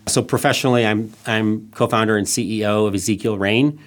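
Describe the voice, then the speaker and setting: A man's voice: lowish voice, fairly even intonation, fairly quick. A middle-aged man raised in Thailand, a guest on a podcast who answers reflectively with frequent restarts. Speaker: guest, nonprofit CEO. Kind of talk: podcast interview.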